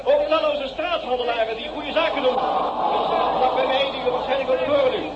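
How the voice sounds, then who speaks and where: Male excited live reporter; extremely high voice over loud crowd noise, vintage radio play. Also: brisk. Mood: eager, descriptive. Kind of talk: live outdoor commentary in 1960s radio drama. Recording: dull, noisy, echoing vintage broadcast. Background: crowd and street noise.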